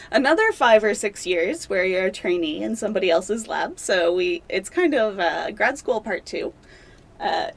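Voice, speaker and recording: Chatty young woman with filler words. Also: fairly low pitch. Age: young adult. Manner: chatty and self-deprecating, many fillers and laughs. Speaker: doctoral candidate interviewee. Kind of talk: casual interview.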